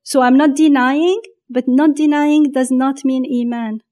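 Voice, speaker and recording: A woman talking reflectively about faith in a conversation, pausing often and restarting her thoughts as she searches for words. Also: high-pitched, steady tone, warm tone.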